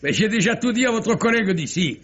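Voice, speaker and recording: A man playing a folksy, rambling bistro owner in an old-style French radio mystery, with a gravelly, husky voice. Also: sits high, animated, brisk, fairly bright tone, a little hiss or hum. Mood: garrulous. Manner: colloquial, rambling, exclamatory. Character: chatty, folksy male bistro owner recalling a drama. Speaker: actor. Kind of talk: 1950s French radio drama acting. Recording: muffled old radio recording.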